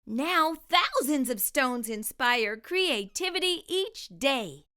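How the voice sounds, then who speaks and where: Lady reading a kids book out loud, bright and super expressive, takes her time. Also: high voice, slow pace. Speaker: storytime host, read-aloud narrator. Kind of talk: expressive children's storybook narration.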